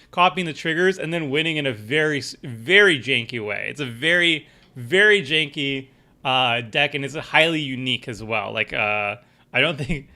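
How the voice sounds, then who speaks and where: Bright-voiced man streaming, a bit stuffy. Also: fairly high pitch. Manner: rambling, many fillers and restarts, reads chat aloud. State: stuffy from allergies. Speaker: streamer building a deck with chat. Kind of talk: casual live-stream chat.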